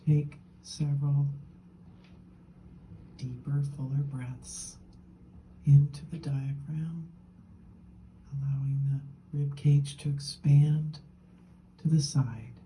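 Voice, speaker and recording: Slow, mellow woman guiding meditation. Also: sits low, fairly even intonation, a little hiss or hum, poor audio. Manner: gentle, unhurried, with long pauses between short phrases. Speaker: meditation teacher. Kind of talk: guided meditation.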